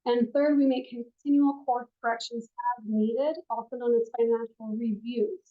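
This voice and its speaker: A woman who is a financial advisor, giving a soft-spoken classroom presentation with frequent ums, recorded on a room mic. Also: on the high side, steady tone, normal speed, narrow, tinny audio.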